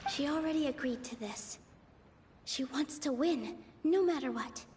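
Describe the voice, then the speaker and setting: A woman voicing a gentle young female dancer in a game, speaking in a soft, earnest way. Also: sits high, measured pace, noisy background. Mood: worried.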